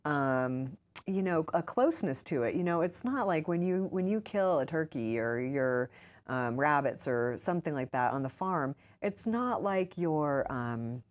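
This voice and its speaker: Low-voiced woman chatting in an interview. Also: animated, a little slow. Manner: informal, acts out quoted remarks. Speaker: interview guest, author and urban farmer. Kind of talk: conversational interview answers, anecdotal.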